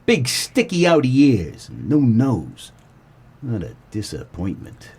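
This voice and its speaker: Man playing a husky wisecracking noir private eye. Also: medium pitch, takes it slowly, full character voice. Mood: wry. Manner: wry, deadpan, colloquial. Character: wisecracking, world-weary male 1940s private eye. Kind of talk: comedic noir radio play narration.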